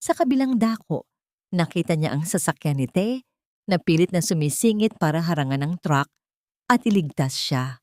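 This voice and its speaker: Female narrator for audio drama: lowish pitch, solemn and emotive delivery, dry close sound. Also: expressive, moderate pace, darkish tone, dry recording. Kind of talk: dramatic scripted story narration.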